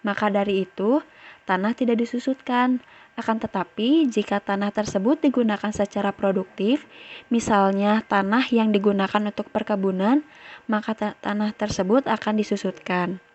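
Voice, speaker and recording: A woman's voice: mid-range voice, fairly even intonation, moderate pace, darkish tone. A young woman delivering a scripted explanatory presentation as a student. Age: young adult. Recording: dull, lo-fi microphone sound.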